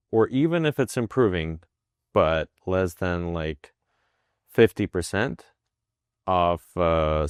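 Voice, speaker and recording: Deep-voiced man. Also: clean tone, no echo, clean studio sound. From Russia. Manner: halting, many fillers and restarts. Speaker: wound care physician. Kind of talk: interview guest.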